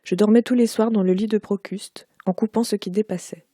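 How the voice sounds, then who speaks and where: A woman in her forties from Quebec, speaking with a Parisian French accent, reading aloud from her own book in a measured, unhurried way. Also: medium pitch, steady tone, mellow, no room sound, clean studio sound. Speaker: author.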